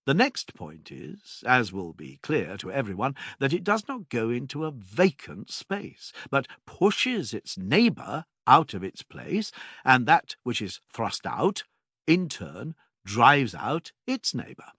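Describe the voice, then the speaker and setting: A man reading a classical philosophy text as scripted narration, with measured, deliberate phrasing and emphatic pauses. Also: mid-range voice, wildly swooping pitch, a bit bright, breathy edge, no echo, studio quality.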